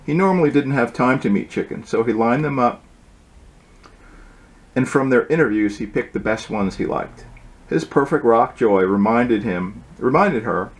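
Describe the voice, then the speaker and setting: A man reading his own storybook aloud as its author, in an informal way with occasional halting asides. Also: fairly low pitch.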